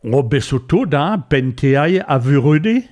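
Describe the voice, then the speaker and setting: A man's voice: a little high, lively intonation, a little slow, a little mellow. A man chatting casually in conversation.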